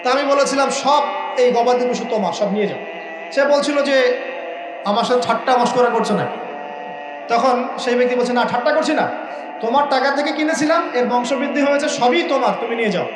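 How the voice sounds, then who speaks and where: High-pitched man preaching, echoing. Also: a little fast. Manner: emphatic, rhetorical, repeats key phrases. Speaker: Islamic preacher. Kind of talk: sermon with storytelling and questions to listeners. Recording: echoing voice with music laid under. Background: background music.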